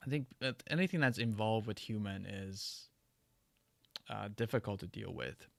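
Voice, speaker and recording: Gravelly-voiced man chatting on a livestream. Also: lowish voice, expressive, no room sound. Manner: halting, many restarts and fillers. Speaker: livestream host. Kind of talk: casual livestream chat answering viewer questions.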